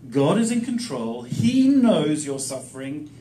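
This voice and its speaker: A man preaching in short, emphatic, plain-spoken phrases, pausing for an interpreter. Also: a little high, very animated, warm tone. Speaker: guest preacher teaching the Bible. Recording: echoey room, distant mic, faint noise.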